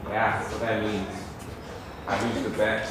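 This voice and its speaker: A man's voice: on the low side, flat delivery, measured pace, close to an everyday voice. Wry young man, very echoey, acting. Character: wry grown son, rueful brother. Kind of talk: stage play acting. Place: theatre stage. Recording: very echoey, noisy theatre recording.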